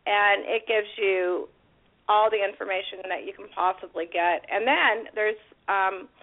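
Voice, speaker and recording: A hoarse woman calling in to a panel chat, upbeat and chatty. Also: mid-range voice, unhurried. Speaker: caller, panelist. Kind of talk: casual chat. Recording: tinny phone line.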